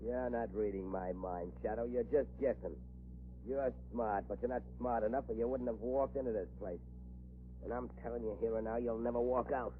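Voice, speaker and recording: An actor playing a ruthless, bullying arsonist gang boss in a 1940s radio crime drama. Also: male voice, medium pitch, fairly even intonation, moderate pace, natural voice. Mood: menacing. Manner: snarling, bullying commands. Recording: scratchy old radio broadcast, muffled, hum.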